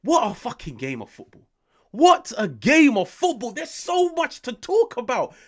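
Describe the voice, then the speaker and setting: A British man in his late twenties, hosting an excited football reaction, swearing often and swinging between loud outbursts and hushed asides. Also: extremely high pitch, normal speed. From United Kingdom. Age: 20s. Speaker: football channel host.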